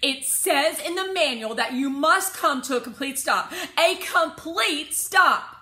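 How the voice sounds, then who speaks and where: A woman voicing an anxious young girl who insists on every rule, calling out in a shrill, urgent voice. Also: expressive, takes it slowly, bright, forceful tone, heavily acted. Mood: insistent. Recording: roomy echo.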